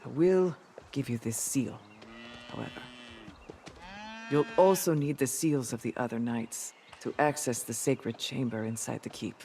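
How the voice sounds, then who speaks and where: A man playing a solemn, honourable knight in a video game, speaking gravely and deliberately. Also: mid-range voice, warm tone, a little hiss or hum, lightly acted. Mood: solemn, resolute. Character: solemn honourable knight, weary but resolute. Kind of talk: game character dialogue.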